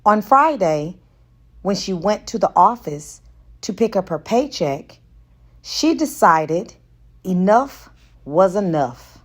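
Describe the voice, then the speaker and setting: A woman reading romance fiction aloud as an audiobook narrator, in a deliberate and expressive way. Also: medium pitch. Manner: deliberate, acts out dialogue. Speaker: audiobook reader.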